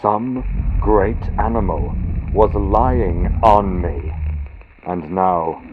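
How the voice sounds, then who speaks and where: A man reads a gothic horror story as a dramatic audiobook narrator, with theatrical, suspenseful, deliberate phrasing and pauses for effect. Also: deep voice. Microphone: built-in computer microphone. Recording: dull lo-fi computer mic, vintage-radio sound, loud noise. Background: loud noise and storm-like ambient sound effects.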